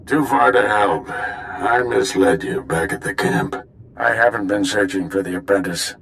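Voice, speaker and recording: An actor playing an older, resolute mentor knight in a video game, speaking tersely with weary resolve. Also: male voice, on the low side, a little slow, creaky, fairly bright tone, a little hiss or hum. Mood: resolute, solemn. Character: resolute older male Jedi mentor, gruff and fond. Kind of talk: video game cutscene acting.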